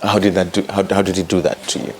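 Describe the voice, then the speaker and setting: A man hosting an interview show, asking questions and backing the guest with steady soft mm-hmms. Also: low-pitched, fast, slight background noise. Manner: frequent soft mm-hmm backchannels. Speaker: interview host.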